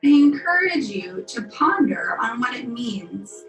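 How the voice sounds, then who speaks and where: A woman teaching yoga, leading a guided meditation in a soothing, unhurried, gently instructive manner. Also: fairly high pitch, big pitch swings. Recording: roomy echo, music behind. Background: music.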